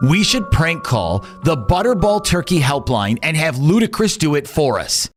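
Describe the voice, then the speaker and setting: Animated male radio storyteller over music. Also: a little high, normal speed. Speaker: podcast host, radio morning show host. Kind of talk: storytelling narration. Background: background music.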